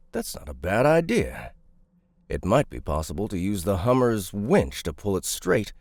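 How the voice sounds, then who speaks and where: An actor playing a gruff, confident mechanic in an audiobook drama, talking in a blunt, wry, offhand way. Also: male voice, fairly low pitch, a little mellow, dry recording, clean studio sound, exaggerated voice. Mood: thoughtful, casual.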